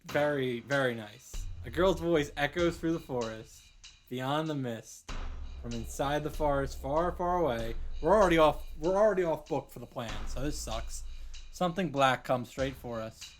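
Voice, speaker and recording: A man's voice: fairly high pitch, moderate pace. A man reading visual novel narration aloud as the host of a read-through, with casual reactions. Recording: music behind the voice. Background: game music.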